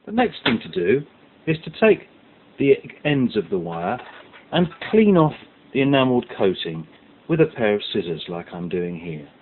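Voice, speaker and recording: Man giving lively, swooping step-by-step instructions. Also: mid-range voice, moderate pace. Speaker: instructor presenting a science kit tutorial. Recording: muffled lo-fi audio with background hiss.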